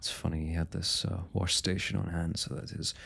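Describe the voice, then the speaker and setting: A man's voice: deep voice, little pitch movement, brisk, vocal fry, a bit bright, breathy edge, heavily acted. A man playing a young royal blacksmith in an intimate fantasy roleplay, speaking to the listener in courtly, hesitant, old-fashioned phrasing. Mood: wry, reminiscing. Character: young male royal blacksmith, smitten, forthright, proud working man.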